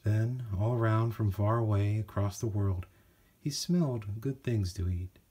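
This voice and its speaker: A man with a husky voice narrating a children's storybook from a script. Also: lowish voice, a little slow, mellow. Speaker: storybook reader.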